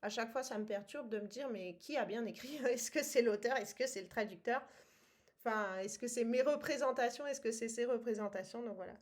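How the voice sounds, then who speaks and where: Woman co-host, higher voice, halting and chatty. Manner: hesitant, many fillers, laughs often. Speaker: podcast co-host. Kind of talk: casual book-club chat.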